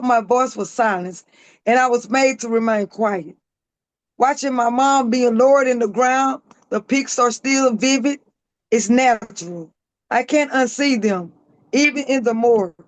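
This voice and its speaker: Raspy woman reading her poetry. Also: a little high, no echo. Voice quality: raspy, hoarse. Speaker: author and guest.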